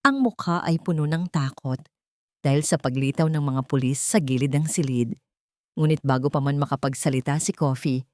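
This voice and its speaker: A woman narrates a scripted love drama. Her voice is low-pitched and the recording is very dry and close, with a dramatic storytelling delivery. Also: a little mellow, no room sound.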